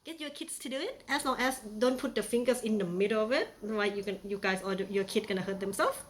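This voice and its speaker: A woman's voice: mid-range voice, quick pace, a little hiss or hum. A young woman from Thailand with a Thai accent, chatting playfully through a casual how-to demonstration with many fillers. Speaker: vlogger.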